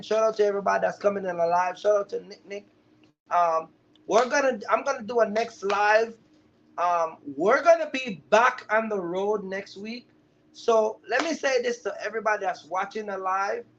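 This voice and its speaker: Male livestream mentor, high-pitched, chatty and emphatic, on dull lo-fi audio. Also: fairly bright tone, a little hiss or hum. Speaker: livestream host, trucking business mentor. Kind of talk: casual live chat to viewers. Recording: dull, lo-fi live stream audio.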